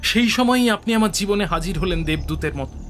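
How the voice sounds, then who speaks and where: A man's voice: high-pitched, expressive, quick pace, a bit bright. A man acting a humble, loyal assistant scientist in a Bengali audio drama, deferential and earnest. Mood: grateful. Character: devoted male assistant scientist, humble, loyal, eager, awed. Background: background music.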